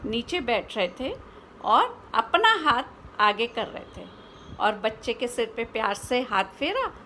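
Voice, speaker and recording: An Indian-accented woman with a high voice tells a story for children in an informal, spoken way. She explains as she goes, posing little questions and dropping in English words. A faint noise sits behind her voice. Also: big pitch swings, slow pace, sharp tone.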